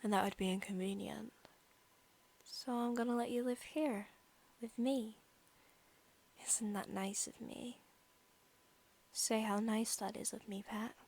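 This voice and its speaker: Woman playing a slow, creaky possessive vampire girl. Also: fairly high pitch, fairly even intonation, darkish tone. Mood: sinister, fond. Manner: teasing, casually menacing, giggly, drifting into whispers. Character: possessive sadistic young female vampire, playful and menacing. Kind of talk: ASMR roleplay, softly spoken.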